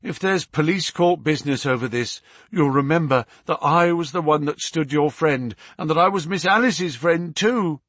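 A man's voice: on the high side, moderate pace, a bit bright, no room sound, studio quality, voice pushed up. A man voicing a gaunt, plain-spoken servant woman in a storybook, deferential and aggrieved, with folksy phrasing. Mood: aggrieved, eager.

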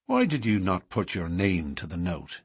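A male narrator acts out a bold, wary storybook man who speaks firmly and bluntly. His challenges swing widely in pitch, with a husky edge. The sound is dry and close. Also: huge pitch swings, moderate pace, dry recording, full character voice. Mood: questioning. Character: stern, bold, wary newcomer man, loyal lodge member. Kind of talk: audiobook character dialogue.

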